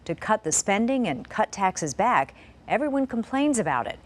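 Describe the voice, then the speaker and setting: A woman's voice: mid-range voice, big pitch swings, moderate pace. An American woman anchoring TV news, reading from a script.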